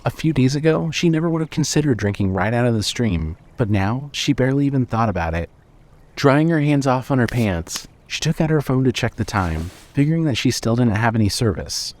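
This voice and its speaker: A man narrating scripted fiction as a storyteller, in a measured way. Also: medium pitch, lively intonation, darkish tone.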